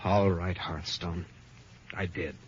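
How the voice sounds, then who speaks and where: An actor playing a hot-headed, vengeful murderer in agitated, melodramatic 1950s radio drama style. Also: male voice, sits low, steady tone, slow pace, breathy edge, heavily acted. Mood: defeated. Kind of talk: 1950s American radio crime drama acting. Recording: muffled, lo-fi old radio recording.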